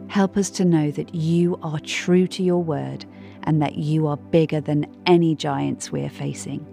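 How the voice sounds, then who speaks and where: A woman narrates a scripted devotional gently and reflectively. Her voice is low and mellow, with measured phrasing, over a soft bed of music. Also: fairly even intonation, creaky. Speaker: devotional reader.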